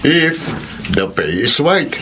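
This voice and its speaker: A man's voice: on the high side, big pitch swings, takes it slowly, sharp tone, exaggerated voice. A man playing an easygoing Filipino office employee in a radio drama, casual but respectful. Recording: noisy radio drama track.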